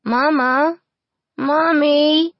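A woman's voice: on the high side, extremely slow, dry recording, pitched above the performer's own voice. A woman voicing a frightened little orphan girl who cries and pleads, in a dull lo-fi storybook recording. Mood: crying. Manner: crying, pleading.

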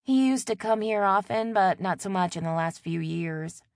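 A woman's voice: medium pitch, normal speed, no echo, studio quality, natural voice. A woman voicing a polite, reserved young city woman in an audiobook. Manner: polite, hesitant.

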